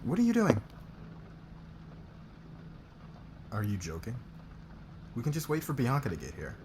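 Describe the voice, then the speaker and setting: A man acting in an intimate ASMR roleplay as a demanding, guarded noble boss weighed down by debt, speaking haltingly with sighs. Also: mid-range voice, wildly swooping pitch, noisy background. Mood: impatient, demanding.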